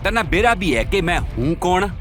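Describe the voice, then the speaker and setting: A man's voice: high-pitched, sing-song, moderate pace, fairly bright tone, faint music behind. A man with a Haryanvi accent playing an arrogant, bullying rich diamond merchant in an audio drama. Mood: arrogant, outraged.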